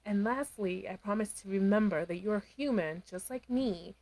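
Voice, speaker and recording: A woman co-hosting a podcast, recapping a reality show in casual chat, animated, laughing often and acting out quotes. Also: mid-range voice, rough recording. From Dominican heritage. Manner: animated, talks with her hands, quick laughs, acts out quotes. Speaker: podcast co-host.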